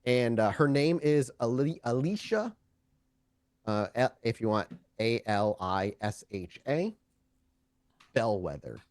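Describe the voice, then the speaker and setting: A man narrating a tabletop roleplaying game as storyteller, improvising in a conversational, halting way and often correcting himself. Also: medium pitch, very animated, darkish tone, no room sound.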